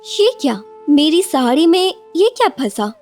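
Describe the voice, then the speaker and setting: Woman acting as a young cartoon village wife, very high voice, caring, expressive, dramatic, music underneath. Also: a little slow, exaggerated voice. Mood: surprised, chatty. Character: devoted, sensible young village wife in a poor household. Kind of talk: animated moral story dialogue.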